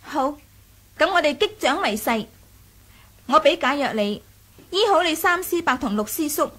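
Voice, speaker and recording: High-voiced, sly young Cantonese noblewoman. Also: animated, fairly bright tone. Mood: composed. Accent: Hong Kong Cantonese. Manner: playful, taunting, deliberate. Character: sly, teasing young noblewoman, self-assured. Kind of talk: radio drama acting.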